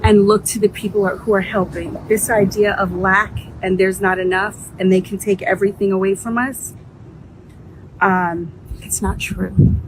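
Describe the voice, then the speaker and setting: A woman's voice: medium pitch, steady tone, normal speed, noisy background. A woman business founder giving an earnest, encouraging, faith-filled message. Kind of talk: heartfelt direct-to-camera message.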